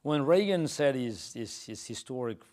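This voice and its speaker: A middle-aged man from Azerbaijan, answering interview questions in a halting way, full of fillers and restarts. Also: medium pitch, animated, a little mellow. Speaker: interview guest.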